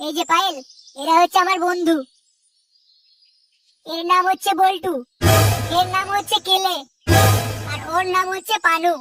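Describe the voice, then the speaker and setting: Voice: extremely high pitch, level tone, normal speed, pauses often, over music. A performer voicing a cheeky, teasing young cartoon man, in slangy comedy dialogue. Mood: cheerful.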